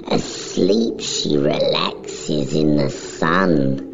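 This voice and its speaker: A narrator reading a motion comic script in a solemn, measured, dramatic storytelling style. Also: medium pitch, vocal fry, a little mellow. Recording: dull lo-fi sound, music underneath.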